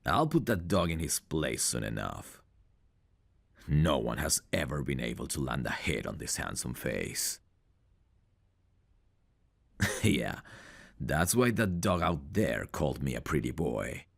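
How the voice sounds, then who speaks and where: A man's voice: rumbling low, very animated, slow pace, vocal fry, pauses often, exaggerated voice. A man playing a cocky, smug prizefighter in an ASMR roleplay audio drama, with a boastful, teasing, self-assured manner. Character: cocky, smug male prizefighter, proud of his looks. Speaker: voice actor.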